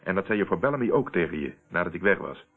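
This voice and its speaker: An actor playing a steady, probing man who confronts a murderer in a radio play, speaking in a deliberate, controlled, accusing way. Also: low voice. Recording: tinny, narrow-band old radio recording.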